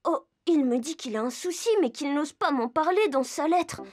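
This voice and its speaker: A woman's voice: high voice, moderate pace, natural voice. A woman playing a cheerful, curious little girl in a children's cartoon, speaking in a friendly way straight to young viewers. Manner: bright, friendly, addresses young viewers directly. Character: Jasmin, cheerful curious little girl who travels to animals. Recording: music behind the voice.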